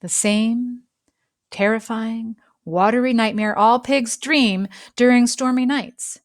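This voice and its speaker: A woman reads a children's story aloud in an expressive narrating style, phrasing deliberately and leaving dramatic pauses. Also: on the high side, no echo, clean studio sound. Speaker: storybook reader.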